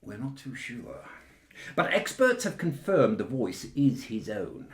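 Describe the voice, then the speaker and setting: A man with a British accent playing a formal, dutiful government official delivering a precise, measured briefing in a satirical radio play. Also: mid-range voice, sing-song, roomy sound. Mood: measured, uneasy.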